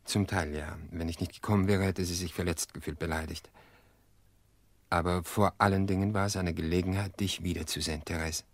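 A very deep-voiced, measured, weary widower. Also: male voice, steady tone, dry recording. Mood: tender, earnest. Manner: measured, reflective, hesitant pauses. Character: weary, earnest widower confessing to his sister-in-law. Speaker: actor in an audio drama. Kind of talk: radio play dialogue.

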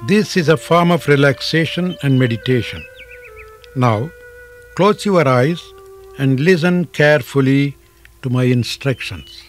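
A man leads a guided meditation at a very unhurried pace. His mid-pitched voice moves in short, deliberate phrases with frequent pauses, giving instructions as a yoga teacher would. Faint music sits behind him. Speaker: yoga teacher guiding deep relaxation.

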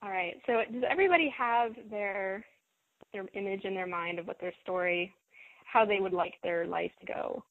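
A woman hosting a self-help conference call, talking in a gentle, encouraging, measured way. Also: mid-range voice, no room sound. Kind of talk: coaching talk. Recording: phone line, dry and lo-fi.

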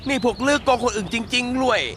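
A man voicing an excitable, indignant Thai-Chinese shopkeeper father in a cartoon, with an exaggerated Chinese accent in his Thai. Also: very high voice, fast, noisy background. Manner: exaggerated, exclamatory. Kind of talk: cartoon voice acting.

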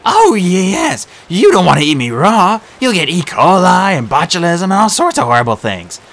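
A man's voice: very high voice, big pitch swings, a little slow, sharp tone, pitched above the performer's own voice, heavily acted. A man voicing a jittery stammering satyr. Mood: nervous pleading. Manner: nervous, stammering, pleading. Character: timid, jittery young male satyr.

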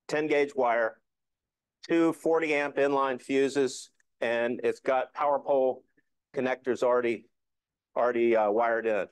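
A man's voice: mid-range voice, smooth. A man with a mid-range voice gives an informal presentation. He explains practical details in a folksy, joking manner, and the sound is fairly dry with little room echo. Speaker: amateur radio club presenter. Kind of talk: informal slide talk.

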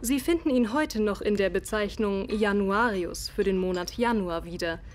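A woman's voice: mid-range voice, normal speed, darkish tone, a little hiss or hum, natural voice. An actress playing a proud, defensive Victorian woman doctor in a radio play, with formal, precise, haughty delivery. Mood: composed, professional. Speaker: voice actor in an audio drama. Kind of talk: German radio play dialogue.

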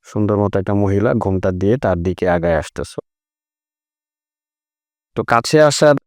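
A man's voice: on the low side, mellow, dry recording, clean studio sound. A man with a Bangladeshi accent, casually telling anecdotes in a group chat.

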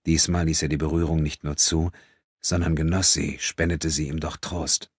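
A male audiobook narrator with an exceptionally deep voice and a heavily husky texture. He reads dramatic literary prose in a close, dry recording. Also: flat delivery, moderate pace, dry recording.

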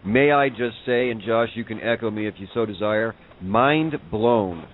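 A man's voice: fairly low pitch, moderate pace. A man interviewing a guest on a podcast in a casual, chatty style. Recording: thin phone-like sound, faint noise.

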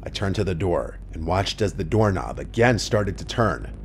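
A man reading first-person horror stories in a scripted narration, with a low, hushed storytelling delivery. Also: expressive, normal speed. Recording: soft music underneath.

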